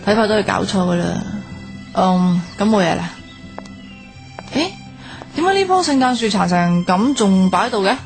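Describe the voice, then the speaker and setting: An actress playing a feisty, bossy, sharp-tongued young woman in a radio drama, speaking Hong Kong Cantonese in a blunt, expressive way. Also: mid-range voice, normal speed, creaky, long pauses. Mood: brisk, bossy. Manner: blunt, teasing, expressive slangy scolding. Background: background music.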